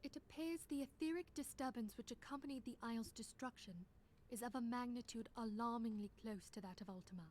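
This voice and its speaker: A woman's voice: fairly high pitch, fairly quick, a little mellow, slight background noise, lightly acted. An actress voicing a composed, earnest female leader who speaks formally and with archaic phrasing in a fantasy game. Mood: grave, earnest. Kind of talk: scripted game voice acting.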